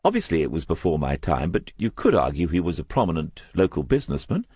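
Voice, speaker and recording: A man's voice: extremely low pitch, big pitch swings, fast, darkish tone, breathy edge, no echo, clean studio sound, full character voice. A man voicing a young corporate insurance woman in an audiobook, with a polite, formal, matter-of-fact manner. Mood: brisk, businesslike.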